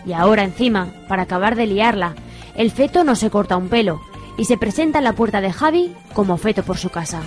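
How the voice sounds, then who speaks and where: A woman from narrates a radio soap, steady and measured. Her pitch sits mid-range. Light music plays underneath. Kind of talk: scripted radio drama narration.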